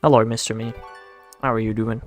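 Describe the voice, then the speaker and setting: A man's voice: lowish voice, little pitch movement, fairly quick, soft background music. A young man from the Netherlands with a Dutch accent, chatting casually and slangily with his chat while streaming a game. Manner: laid-back and slangy, talks to himself. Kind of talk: casual livestream chat, answering chat while playing.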